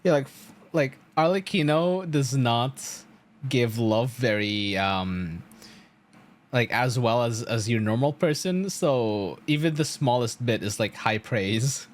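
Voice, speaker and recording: A game streamer giving casual, slangy, excitable live commentary while playing. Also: mid-range voice, takes it slowly, slight background noise.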